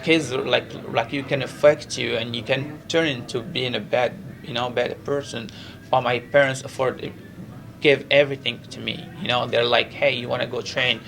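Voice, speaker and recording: Gravelly Moroccan-accented male fighter. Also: mid-range voice, steady tone, normal speed, a bit bright, slight background noise, poor audio. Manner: earnest, repetitive, non-native English with frequent 'you know'. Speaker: professional MMA fighter. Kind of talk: press interview, casual chat.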